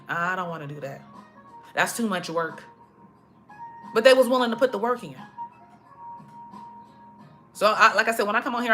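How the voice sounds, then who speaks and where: Preachy woman with a bright voice. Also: on the high side. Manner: preachy, emphatic, repeats herself. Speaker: motivational speaker. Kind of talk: casual motivational talk to followers. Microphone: phone.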